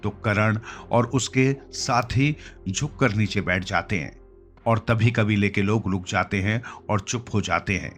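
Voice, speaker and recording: Low-voiced man narrating over music. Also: unhurried, a bit bright. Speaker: story narrator. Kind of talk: scripted narration of a children's fantasy story. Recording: clear music bed under the voice. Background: background music.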